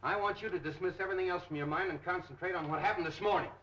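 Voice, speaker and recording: An actor playing a brusque, impatient, sarcastic police detective in a 1930s film. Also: male voice, sits high, very fast, sharp tone. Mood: insistent, brisk. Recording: dull 1930s film soundtrack.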